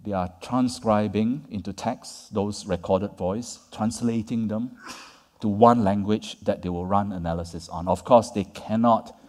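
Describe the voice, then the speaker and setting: A man with a fairly low, mellow voice gives a lively conference talk, leaning hard on key words. He keeps checking in with the audience with "right?" and "yeah?". His voice carries the long reverb of a large hall. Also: unhurried. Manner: conversational, tags points with "right?" and "yeah?". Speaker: conference speaker. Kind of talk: lecture.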